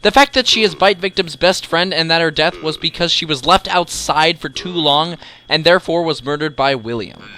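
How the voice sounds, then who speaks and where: A man's voice: fairly high pitch, normal speed, bright, forceful tone, slight background noise. A man hosting a video essay, narrating a scripted countdown with enthusiasm and emphatic, dramatic delivery. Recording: voice-over with background music. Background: music.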